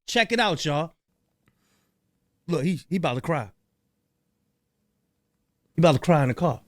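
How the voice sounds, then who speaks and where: A man doing reaction commentary in a slangy, animated way, talking straight to his viewers. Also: fairly high pitch, unhurried, no room sound, clean studio sound.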